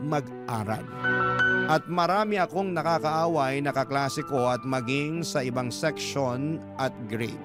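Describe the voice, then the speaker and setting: Man acting as filipino guy looking back on a rough childhood, radio drama narration, earnest n measured, music really loud behind. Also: mid-range voice, close to an everyday voice. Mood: reflective. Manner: measured, reflective storytelling. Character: earnest male letter writer recalling his hard childhood. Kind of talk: scripted first-person radio drama narration. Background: loud instrumental music.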